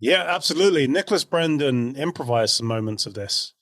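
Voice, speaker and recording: A man's voice: mid-range voice, expressive, brisk, fairly bright tone, dry recording, studio quality. A man talking casually as a podcast co-host about a TV show.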